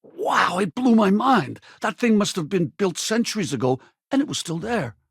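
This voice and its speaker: A man playing an easygoing, loyal space pilot companion in a video game, husky and casual, with a wry, battle-ready streak. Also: on the high side, very animated, a little fast, no echo, clean studio sound, heavily acted. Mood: candid, reflective. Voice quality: gravelly, husky. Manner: casual, colloquial, conversational.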